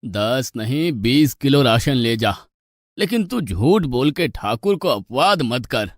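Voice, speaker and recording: A stern ashram guru acted by a man in a cartoon fable, with a medium-pitched male voice. He speaks with authority, doubting and scolding. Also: lively intonation, slow pace, no echo, studio quality. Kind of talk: animated moral-story acting.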